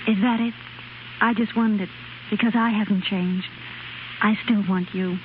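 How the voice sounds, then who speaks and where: An actress in a 1930s radio drama playing a tired, devoted young working wife, earnest and gently pleading, on a scratchy, tinny recording. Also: female voice, mid-range voice, fairly even intonation, moderate pace, natural voice. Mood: tender, hurt.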